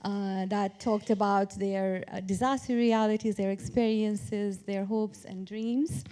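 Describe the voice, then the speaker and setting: A woman's voice: medium pitch, fairly even intonation, a little slow, dark tone, slight background noise. A woman from Turkey speaking as an academic on a panel, explaining her approach in an informal, structured way. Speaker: academic panelist, professor of public policy.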